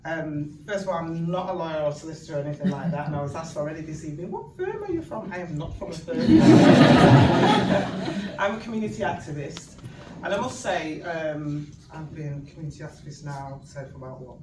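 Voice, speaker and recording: A woman's voice: deep voice, fairly quick, very noisy. Chatty Mancunian woman speaking in an echoey hall. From Manchester, England. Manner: chatty and humorous, laughs often. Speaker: guest speaker, community activist. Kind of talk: storytelling speech at an event. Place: event venue with an audience. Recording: echoey room, distant mic, ambience.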